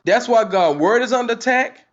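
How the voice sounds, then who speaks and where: High-voiced man in his forties ranting. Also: lively intonation, moderate pace, fairly bright tone, dull audio. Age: 40s. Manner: heated, repetitive, rhetorical questions. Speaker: channel host. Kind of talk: livestream commentary, reading the chat.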